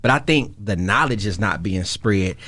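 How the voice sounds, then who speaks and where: A man's voice: on the low side, lively intonation, normal speed, pushed and bright. A man hosting a casual radio interview, hyped and slangy, often stammering into his phrases.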